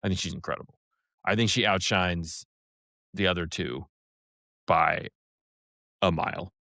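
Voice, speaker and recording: A man co-hosting a podcast in casual review discussion, talking in halting bursts with many asides. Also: low-pitched, fairly even intonation, vocal fry, bright, forceful tone, dry recording, clean studio sound. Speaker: podcast co-host.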